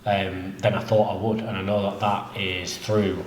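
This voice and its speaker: A man's voice: deep voice, normal speed. A man with a cold and a slightly nasal voice, chatting casually as a jiu-jitsu coach about opening a new gym. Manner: relaxed, rambling, many fillers. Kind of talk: casual chat. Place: inside a martial arts gym. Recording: echoey room with faint noise.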